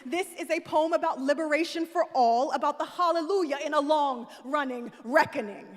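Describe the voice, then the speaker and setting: A woman with a high voice declaims spoken word poetry in rhythmic, rising lines, sometimes shouting, leading the crowd in call and response. Her voice rings out in a large hall with a long reverb tail. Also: moderate pace.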